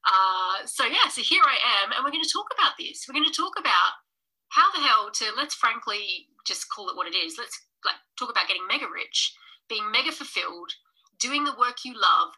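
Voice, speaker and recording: A woman in her thirties hosts a live stream in a very bright, forward voice. She talks casually and with energy, calling her viewers "guys," and she sounds a little nasal and snuffly from a cold. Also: fairly high pitch, lively intonation, normal speed, poor audio. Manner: enthusiastic, chatty, addresses viewers as guys. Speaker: podcast host and business coach.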